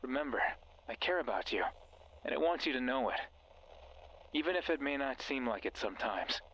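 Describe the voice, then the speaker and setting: A man playing a mysterious, controlling guide in a horror game, speaking in a commanding, condescending, deliberate way with menace and false care. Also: medium pitch, expressive, fairly bright tone, a little hiss or hum, poor audio. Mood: falsely caring. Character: mysterious controlling male voice guiding through a hospital, menacing, falsely caring. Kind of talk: horror visual novel voice acting. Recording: music behind the voice.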